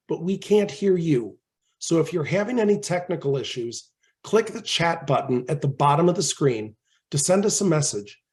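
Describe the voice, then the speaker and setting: A man hosting a webinar, reading a prepared introduction in a steady way, his voice slightly hoarse from a head cold. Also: fairly high pitch. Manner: reads prepared remarks steadily. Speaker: webinar host.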